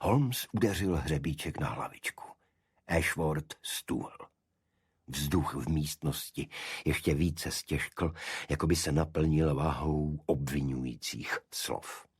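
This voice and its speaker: A man's voice: rumbling low, breathy edge, no room sound, clean studio sound. A man reading a detective story as a scripted, dramatic audiobook narration.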